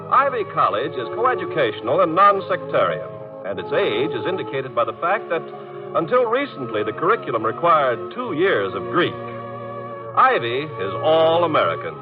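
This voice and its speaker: A man reading a scripted introduction as an announcer on a vintage 1950s radio show. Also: fairly high pitch. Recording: dull vintage radio recording with music. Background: music.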